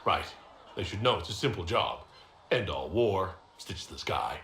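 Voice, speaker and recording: A man's voice: deep voice, expressive, unhurried, a bit bright, echoey room, heavily acted. An actor playing an earnest male hero leader in a game cutscene, asking polite, curious questions. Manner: polite, inquisitive.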